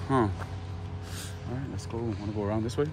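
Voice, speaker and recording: A man's voice: on the low side, a little fast, music in the background. A man hosting a travel vlog outdoors, chatting casually and exclaiming in amazement at what he sees. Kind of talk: casual chat while touring. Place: outdoors in a small town. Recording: noisy outdoor recording, vehicle and ambient sounds.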